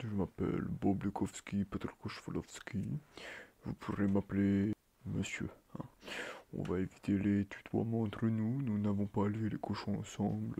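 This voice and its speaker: A man playing a fussy, flustered schoolteacher in a soft-spoken ASMR roleplay, hesitant, breathy and stiffly formal, often muttering near a whisper. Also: fairly low pitch, heavily acted. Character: fussy, flustered male schoolteacher on first day.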